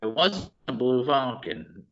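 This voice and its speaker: A man co-hosting a podcast in casual chat, excitable and rambling, often restarting his sentences. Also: medium pitch, slow. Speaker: podcast co-host. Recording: dull lo-fi podcast audio.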